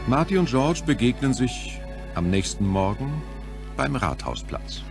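A man's voice: medium pitch, sing-song, a little slow, music in the background. A man narrating an audio drama in a scripted storytelling style.

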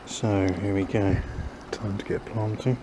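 Low-voiced man musing in his garden. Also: steady tone, unhurried. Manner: musing, self-doubting, chatty, trails off. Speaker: gardening vlogger. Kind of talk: casual thinking-aloud narration while gardening. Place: small backyard garden outdoors. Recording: noisy outdoor ambience.

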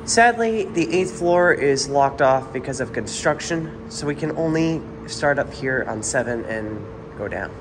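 A man's voice: mid-range voice, expressive, measured pace, hardly any pauses, noisy background. A man giving plain, matter-of-fact running commentary while filming elevators in a parking garage on a handheld phone. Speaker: elevator video host. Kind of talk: casual narration while filming. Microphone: handheld phone camera.

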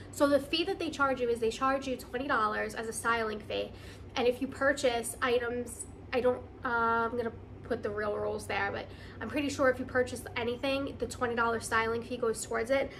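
Female vlogger, excited and conversational, slightly high pitch, casual unboxing delivery, faint noise on the recording. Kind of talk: casual unboxing chat to camera.

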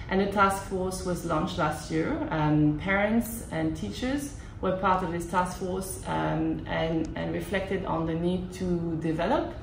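Low gravelly woman in echoey classroom. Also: a little hiss or hum. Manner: measured, many uh fillers. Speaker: language program coordinator. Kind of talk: interview answers. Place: school classroom. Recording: echoey classroom with music behind.

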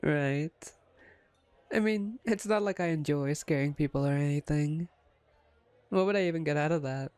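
A woman playing a playful, smug, flirtatious female vampire captor in an ASMR roleplay, with a teasing, wry, unhurried manner. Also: low-pitched, a little mellow, clear, resonant tone, no echo, lightly acted. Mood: wry, chatty.

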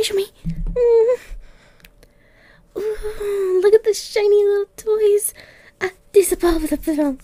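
A woman's voice: sits high, dry recording, heavily acted. A woman voicing a playful, clingy young catgirl girlfriend in an ASMR roleplay, affectionate and cooing, close to the mic. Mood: excited, playful. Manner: soft, affectionate, slow with long pauses, cooing.

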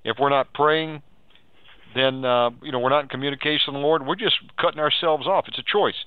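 A man's voice: mid-range voice, fairly quick, clear, resonant tone, dry recording. A man preaching and counselling live on the radio, urging and exhorting, repeating phrases and asking leading questions. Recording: tinny phone-like radio audio.

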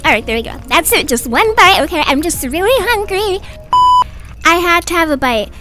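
A woman voicing a doting, chatty young mother of twins in an animated roleplay story. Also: extremely high pitch, moderate pace, loud music behind the voice. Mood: cheerful. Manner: gushing, chatty, fussing.

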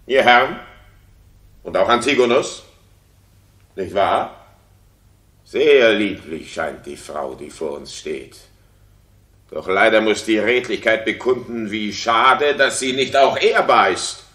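An actor playing a jealous, bitterly sarcastic king with deliberate delivery in a 1960s German radio drama. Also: medium pitch, a bit bright, echoey room. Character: jealous tyrant king accusing his wife.

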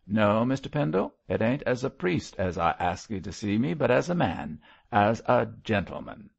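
A gruff condemned criminal from a storybook, acted by a male narrator, with a rough rustic working-class English accent. He growls in a husky, gravelly voice, coarse but full of feeling for his child. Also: on the low side, big pitch swings, moderate pace, muffled recording, full character voice. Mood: pleading.

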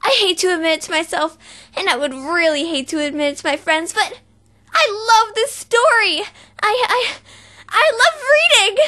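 A woman's voice: very high-pitched, normal speed, bright, forceful tone. A woman voicing a brash, boastful tomboy pegasus pony girl in a fan voice reel. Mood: cocky.

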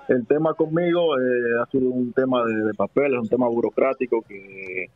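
A young man with a Dominican Spanish accent, answering questions at a studio desk in a halting, restart-filled way. His voice sits mid-pitch and sounds narrow and boxy, as if on a phone line, with a dry, close room. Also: no room sound. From Dominican Republic. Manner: hesitant, many restarts and fillers. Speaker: basketball player guest. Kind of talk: casual interview answers. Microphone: headset and desk mic.

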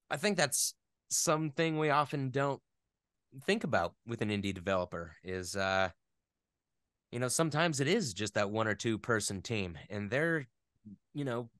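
A man with a clean, resonant voice talks casually as an interview guest. He rambles thoughtfully at a mid pitch, and the sound is close and dry. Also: fairly bright tone, no echo. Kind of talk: casual chat.

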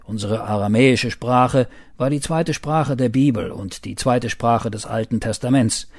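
Mellow male reportage narrator. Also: medium pitch, a little slow, no room sound, studio quality. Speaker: voice-over narrator. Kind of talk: scripted reportage narration and voice-over.

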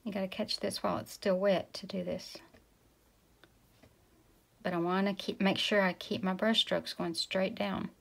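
A woman from Tennessee giving a relaxed step-by-step painting lesson, talking as she paints and sometimes dropping to a whisper. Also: medium pitch, normal speed, creaky, long pauses. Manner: relaxed, talks while painting, drops to a whisper at times. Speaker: painting instructor. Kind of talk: casual step-by-step tutorial. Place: indoors at a work table.

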